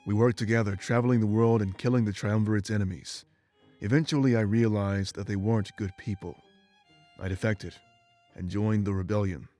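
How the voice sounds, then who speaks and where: Man acting a low-voiced, weary immortal warrior. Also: fairly even intonation, brisk, warm tone, faint music behind, lightly acted. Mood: resigned. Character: ancient immortal former rebel warrior, remorseful and weary man. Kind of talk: dramatic game voice acting, confessional monologue.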